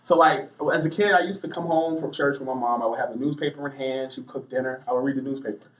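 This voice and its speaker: A talkative American man answers interview questions in anecdotes, with a middling pitch. The room rings noticeably around his voice, and he sits a little back from the microphone. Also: steady tone, fast talker. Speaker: interview guest, sports journalist. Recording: roomy, distant mic.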